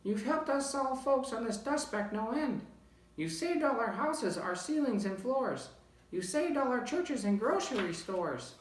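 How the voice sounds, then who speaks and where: Tiny, piping, grateful little mayor. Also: male voice, very high voice, expressive, a little slow, voice pushed up. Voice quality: small, thin piping voice. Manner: polite, grateful. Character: tiny earnest mayor of a speck-sized town. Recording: roomy, distant microphone.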